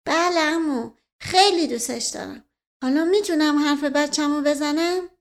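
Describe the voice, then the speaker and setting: A woman's voice: high-pitched, pitched above the performer's own voice. A woman voicing a naive, obedient young bride with a simple childlike delivery in a storybook reading. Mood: innocent, eager.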